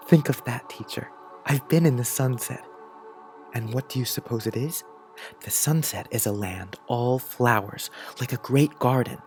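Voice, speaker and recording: A man's voice: medium pitch, darkish tone, lightly acted. A man voicing an imaginative, earnest schoolboy reading his letter aloud in an audiobook drama. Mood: wonderstruck. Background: music.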